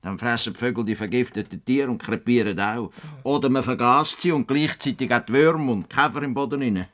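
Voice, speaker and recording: Actor playing an earnest, stubborn Swiss farmer. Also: male voice, mid-range voice, a little fast, fairly bright tone, close to an everyday voice. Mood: earnest conviction. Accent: Swiss German. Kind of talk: radio play dialogue.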